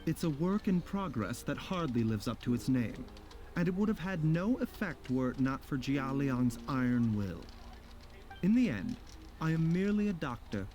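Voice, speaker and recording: Man acting composed male doctor, mellow tone, expressive intonation, formal measured phrasing, gently enigmatic, video game dialogue. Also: medium pitch, faint music behind, natural voice. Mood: earnest.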